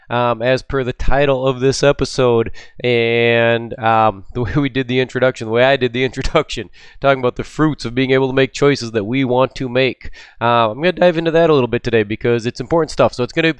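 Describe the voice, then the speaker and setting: A man's voice: mid-range voice, clean tone, dry recording. A middle-aged man hosting his own podcast, talking casually and upbeat with lots of ums, his voice a little stuffed up. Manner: chatty and upbeat, with frequent ums. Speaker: podcast host. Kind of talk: casual solo podcast talk.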